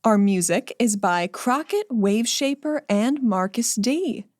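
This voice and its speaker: A woman's voice: fairly high pitch, expressive, unhurried, darkish tone, no room sound, studio quality. A woman hosting a podcast, giving credits and thanks to listeners. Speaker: podcast host.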